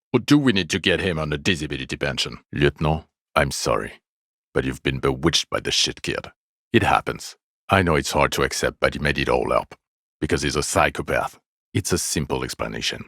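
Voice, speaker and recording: A man's voice: rumbling low, a bit bright, no room sound, heavily acted. A man voicing a bitter, exasperated police detective in a video game, with dry, cutting sarcasm and weary contempt. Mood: weary, dismissive. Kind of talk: dramatic game dialogue.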